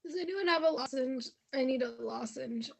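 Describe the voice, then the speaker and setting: A teenage girl playing a distracted army commander in a school radio play, offhand and fussy, clearing her throat between orders. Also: high-pitched, moderate pace. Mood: fussing. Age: teens.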